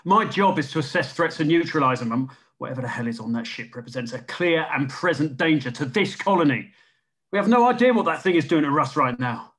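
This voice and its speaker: A man's voice: fairly high pitch, lively intonation, fairly quick, a bit bright, breathy edge. A man playing a tough, insistent colony security chief in a screenplay table read. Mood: defiant, urgent.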